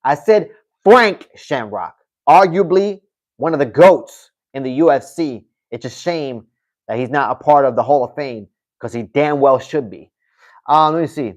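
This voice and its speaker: A man's voice: mid-range voice, lively intonation, a little slow. A man hosting a casual livestream, reading out viewer comments and answering them in a loose, chatty way, often adding "you know what I mean.". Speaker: livestream host.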